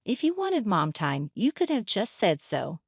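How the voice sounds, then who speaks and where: A woman's voice: medium pitch, huge pitch swings, measured pace, breathy edge, no echo, clean studio sound, full character voice. Indignant, hurt wife, acted by a woman. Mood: angry, hurt. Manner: confrontational, pleading. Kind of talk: dramatized story reading.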